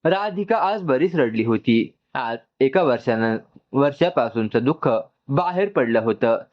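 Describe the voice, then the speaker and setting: A man narrates a dramatic story with a very lively, swinging pitch at a medium register. The recording is slightly dull and lo-fi. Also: unhurried.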